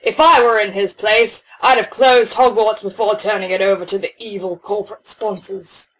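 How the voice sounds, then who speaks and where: A woman's voice: mid-range voice, fairly even intonation, normal speed, poor audio. A woman narrating a scripted first-person story.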